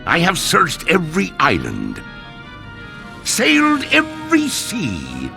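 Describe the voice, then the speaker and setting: A man voicing a menacing, gloating zombie pirate villain in a video game, with a gravelly voice and theatrical delivery. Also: fairly high pitch, wildly swooping pitch, takes it slowly, exaggerated voice. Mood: gloating menace. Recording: loud music behind the voice.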